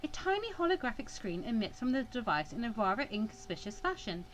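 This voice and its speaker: A woman's voice: fairly high pitch, sing-song, a little fast. A woman hosting a let's play, reading visual novel text aloud and breaking off for wry, sarcastic asides.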